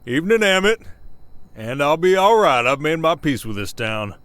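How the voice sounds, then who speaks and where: A man's voice: on the high side, very animated, moderate pace, a bit bright, dry recording. A man playing a weary, protective werewolf sheriff of the Old West in an ASMR roleplay, with a folksy American Southern accent and a hesitant storytelling manner. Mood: firm, guarded.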